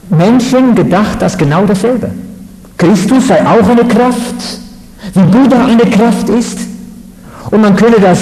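A man from the Netherlands, giving a measured, halting lecture on religion with fillers. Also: sits high, dark tone. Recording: echoey hall.

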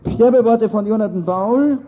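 A man's voice: sits high, moderate pace. A man with an Austrian accent giving an earnest, emphatic lecture-style sermon. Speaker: speaker. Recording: dull lo-fi recording.

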